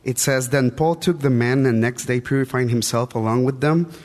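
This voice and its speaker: A man from El Salvador speaks English with a Salvadoran Spanish accent. He asks his question carefully and politely, choosing his words deliberately. The sound carries a long reverb from a large hall. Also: medium pitch, darkish tone. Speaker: visitor asking a question. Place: large church auditorium.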